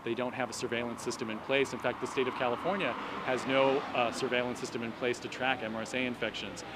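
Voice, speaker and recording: A man speaking as a doctor and activist, explaining an issue in measured, articulate phrases during a street interview. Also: mid-range voice, level tone. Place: outdoors on an urban street at a protest. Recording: noisy city street with traffic. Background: street and traffic noise.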